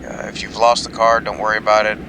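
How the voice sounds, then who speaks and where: An actor playing a folksy, apologetic small-town police chief in a fiction podcast, talking haltingly and conversationally as if leaving a message. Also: male voice, on the low side, completely flat delivery, background noise, lightly acted. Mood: apologetic, businesslike. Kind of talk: fiction podcast acting, phone message monologue.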